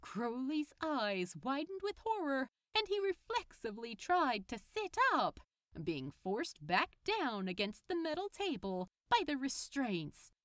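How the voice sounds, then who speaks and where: A woman narrates fiction in an expressive audiobook style. Her voice is bright and lively in pitch, with an unhurried pace. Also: no echo, studio quality.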